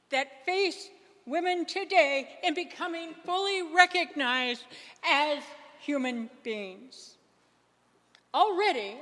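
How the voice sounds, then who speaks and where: A woman playing a dignified Quaker minister and veteran abolitionist, giving a formal, deliberate stage speech in a readers theater. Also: very high-pitched, bright, forceful tone, exaggerated voice. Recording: reverberant hall.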